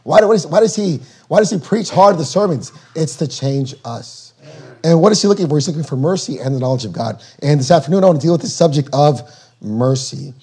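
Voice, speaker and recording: A hoarse man preaches with a husky, darkened voice that sits a little high for a man. He reads scripture slowly and deliberately and explains it in plain, everyday words. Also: expressive, mellow. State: losing his voice, hoarse. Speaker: guest preacher. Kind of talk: sermon.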